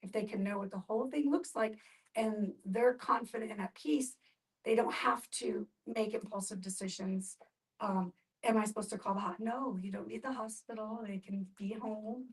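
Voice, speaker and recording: Off-mic female participant, mid pitch, informal explanatory group talk. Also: steady tone, normal speed. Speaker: discussion participant. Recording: distant microphone.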